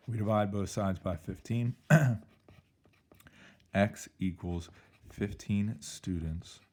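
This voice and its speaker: A male math teacher, slightly hoarse, talking through an algebra problem in an upbeat, playful way with jokey exclamations. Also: low voice, warm tone. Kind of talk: recorded algebra lesson walkthrough.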